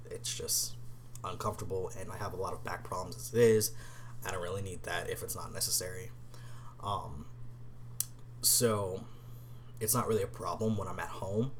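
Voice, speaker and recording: A man's voice: low voice, a little hiss or hum. A young man in a casual vlog, answering tag questions in a hesitant way, with many ums and restarts, and sometimes dropping to a whisper. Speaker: vlogger.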